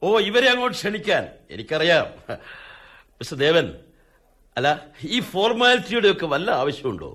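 Radio drama patriarch: older, falsely genial and bossy, lively rising and falling delivery, English asides. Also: male voice, on the high side, normal speed, pauses often. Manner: pompous, smooth-talking, drops in English phrases. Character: domineering, falsely genial older pipe-smoking father-in-law.

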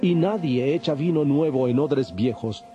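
A man playing a calm, authoritative holy teacher, solemn and measured, in a dramatized audio Bible. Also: medium pitch, lightly acted. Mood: solemn teaching. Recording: dull lo-fi, faint music behind.

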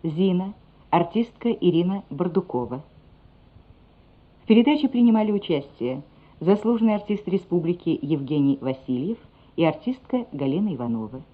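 A woman announcer reading radio play credits in a formal, measured manner. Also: fairly low pitch, animated. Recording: thin, phone-like old radio recording.

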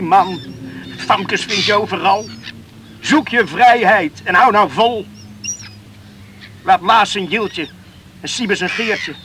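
High-voiced, restless young man. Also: bright, forceful tone, slight background noise, full character voice. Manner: brooding, agitated, talking to himself. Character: restless, torn young islander, brooding and self-reproachful. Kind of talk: radio play monologue.

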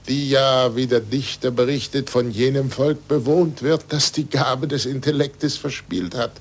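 An actor playing an aged, bitter, resigned scientist in a 1940s radio drama, speaking formally and deliberately. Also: male voice, mid-range voice. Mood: bitter, lecturing. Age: older. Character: aged recanted scientist under church supervision, bitter and resigned. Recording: noisy 1940s radio recording.

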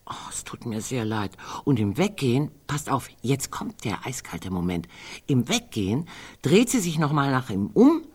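An actress in a radio play voicing a morbid, sardonic woman who muses bluntly among friends, sometimes dropping to hushed tones. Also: a bass voice, very animated, moderate pace, slightly rough, heavily acted. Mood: gossipy, relishing.

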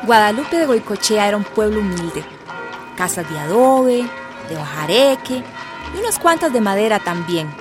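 A woman's voice: mid-range voice, very animated, normal speed, close to an everyday voice. A woman narrating a radio drama as a grown villager fondly remembering childhood, tender and reflective. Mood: nostalgic, tender. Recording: music behind the voice.

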